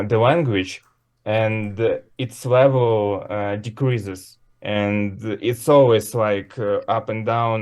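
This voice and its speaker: A man learning English, giving a measured casual answer with many fillers. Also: fairly low pitch, darkish tone.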